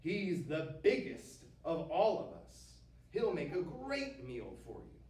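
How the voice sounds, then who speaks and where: A man voicing an eager, quick-thinking middle billy goat in a storybook tale, earnest and excitable. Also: a little high, heavily acted. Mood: pleading, sly. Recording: roomy, distant mic with faint noise.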